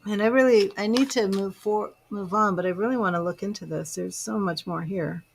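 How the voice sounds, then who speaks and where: A woman's voice: medium pitch, fairly quick, creaky, a little hiss or hum. A woman giving live tarot readings, chatting to viewers by name and musing over the cards. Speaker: tarot reader.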